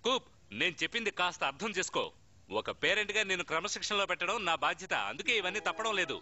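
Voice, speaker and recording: A man's voice: sits high, expressive, fast talker, exaggerated voice. A man voicing a harried, cheerful, indulgent cartoon dad, exclamatory and dropping English phrases into his talk. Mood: gently explaining. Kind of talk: animated cartoon dub acting. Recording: dull lo-fi audio under loud music.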